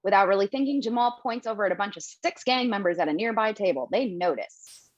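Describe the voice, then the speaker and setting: A woman narrating stage directions in an amateur table read over a video call, brisk and quick to laugh. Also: mid-range voice, lively intonation, no echo, lo-fi sound.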